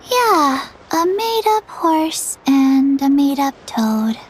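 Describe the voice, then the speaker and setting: Casting: forgetful little child for a game, high voice, very slow delivery, gentle and earnest. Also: female voice, sing-song, a little hiss or hum, full character voice, rough recording. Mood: gentle reassurance. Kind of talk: video game voice acting.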